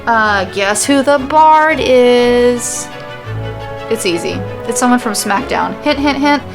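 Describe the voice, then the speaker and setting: A woman's voice: a little high, lively intonation, takes it slowly, over music. A woman telling a scripted story as its podcast host, with casual asides.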